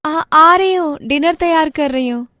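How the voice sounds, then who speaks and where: A woman's voice: high voice, measured pace, bright, forceful tone, no room sound, clean studio sound, voice pushed up. A woman playing a meek, overworked young daughter-in-law in a family story cartoon. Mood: strained, tired. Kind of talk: Hindi family moral-story cartoon acting.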